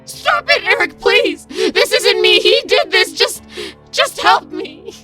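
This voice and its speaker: A woman's voice: extremely high pitch, very animated, slow pace, bright, forceful tone, soft background music, exaggerated voice. A woman voicing a desperate monster that pleads like a frail, familiar young woman in a horror audio drama. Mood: desperate pleading.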